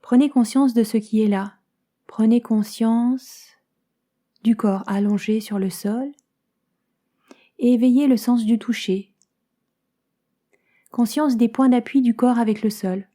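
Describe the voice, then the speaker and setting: A woman guides a yoga nidra meditation as a teacher, calm and soothing, in soft phrases that turn breathy at the ends, with many pauses. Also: on the high side. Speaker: yoga nidra teacher guiding a relaxation session.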